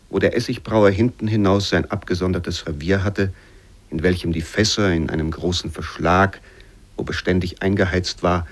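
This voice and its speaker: Low-voiced man narrating a literary radio story.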